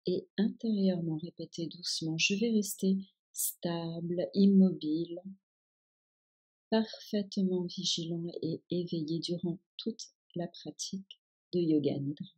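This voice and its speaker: Slow, husky woman guiding meditation. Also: on the low side. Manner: calm, soothing, instructing. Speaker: yoga nidra guide. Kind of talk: guided meditation, relaxation instructions.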